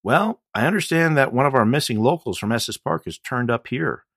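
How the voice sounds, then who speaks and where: A man's voice: medium pitch, lively intonation, normal speed, fairly bright tone, no echo, studio quality, voice pushed up. A man reading the part of a brash, sardonic male journalist in an audiobook, wry and challenging. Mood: pushy, wry. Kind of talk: audiobook character dialogue.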